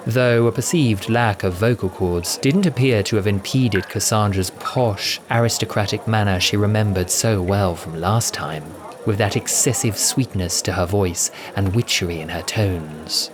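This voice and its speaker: A man's voice: fairly low pitch, a little slow, over music. A man giving scripted, dramatic narration of a science fiction audio adventure.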